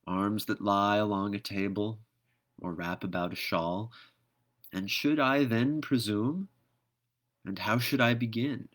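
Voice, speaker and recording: A man reading poetry aloud in an expressive, theatrical manner, with measured phrasing and dramatic pauses. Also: fairly low pitch. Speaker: poetry reader.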